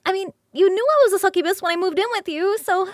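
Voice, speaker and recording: A woman voicing a young succubus roommate in an ASMR roleplay, casual, animated, chatty and affectionate. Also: very high-pitched, quick pace, no room sound, clean studio sound, voice pushed up. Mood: concerned.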